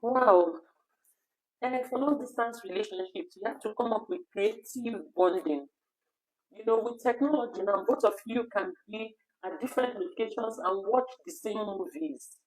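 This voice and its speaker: A woman with a naturally high voice co-hosting a casual live discussion, talkative and quick to finish her partner's sentences. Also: low-quality recording. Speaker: co-host of an online talk program.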